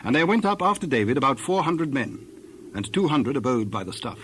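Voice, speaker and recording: A man reads scripted scripture narration for an audio drama. His voice sits at a middle pitch. A faint bed of ambient noise runs behind him. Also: very animated, fairly quick, fairly bright tone.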